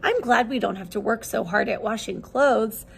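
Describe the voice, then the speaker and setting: A woman preschool teacher reading a children's book aloud expressively, with simple, deliberate questions for young listeners. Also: fairly high pitch, gravelly, a little hiss or hum.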